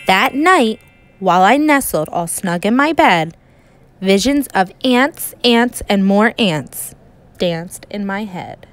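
A woman teacher reading a children's storybook aloud in an expressive, emphatic way with dramatic pauses. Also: fairly high pitch.

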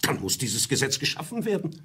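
An actor playing a stubborn, demanding trade union boss in a German radio drama, blunt and insistent. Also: male voice, medium pitch, sing-song, brisk, sharp tone, breathy edge, exaggerated voice.

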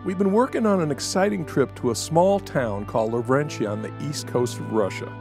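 An actor playing an enthusiastic, persuasive middle-aged American missionary musician in a family audio drama. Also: male voice, mid-range voice, expressive, fairly quick, music in the background, close to an everyday voice. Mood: earnest.

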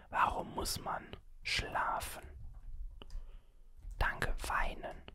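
A video host whispering the whole time while playing a word-guessing game, listing guesses, musing, and grumbling playfully at the computer. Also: a bit bright. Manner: whispers throughout, guessing words aloud and musing. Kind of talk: whispered gaming commentary.

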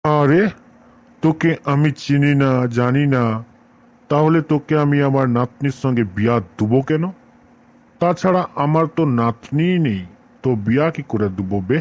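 A man playing a nosy, irritable old grandfather in a comic cartoon, speaking gruff colloquial with a scolding manner. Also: on the high side, normal speed, a little mellow, natural voice. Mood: exasperated.

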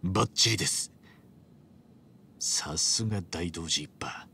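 An actor playing an earnest, excitable, rough-edged gangster hero in a game, with a gravelly voice and boyish, casual delivery. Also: male voice, sits low, takes it slowly, slight background noise, heavily acted. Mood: upbeat. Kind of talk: animated Japanese game cutscene acting.

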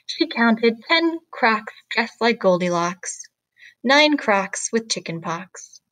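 A woman reading a picture book aloud to children like a teacher, with deliberate, expressive, rhythmic phrasing. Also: on the high side, no room sound, rough recording.

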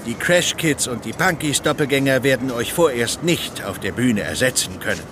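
An actor playing an eccentric puppet-maker and peacemaker in an audio drama, speaking theatrically and placatingly. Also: male voice, mid-range voice, expressive, normal speed, noisy background, lightly acted. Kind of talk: German children's audio drama acting.

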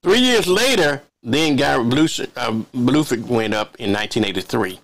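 An older man hosting a television interview over a video call, talking in a rambling, halting way with many restarts. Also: mid-range voice, lively intonation, a bit bright. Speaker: TV show host. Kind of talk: casual interview chat. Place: home office, indoors. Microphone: headset on video call.

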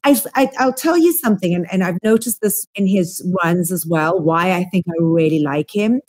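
A woman's voice: fairly low pitch, animated, normal speed, darkish tone. Casting: South African woman, forties, talkative co-host, webcam sound from a room at home. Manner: chatty, lots of ums, enthusiastic. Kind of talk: casual chat. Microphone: webcam on a video call.

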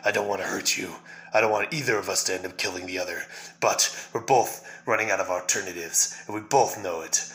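Guy playing a brooding masked vigilante from a comic, deep tense voice, stern and deliberate. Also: fairly bright tone, low-quality recording. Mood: grave, pleading. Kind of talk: fan dramatic reading of a comic.

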